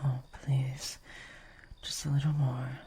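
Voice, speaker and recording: A woman voicing a gentle but possessive vampire lady in an intimate roleplay, soft-spoken and often whispering, with formal, old-fashioned, coaxing phrasing. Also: extremely low pitch, level tone, husky, heavily acted. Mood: hungry, intimate. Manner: formal, archaic, slow, coaxing.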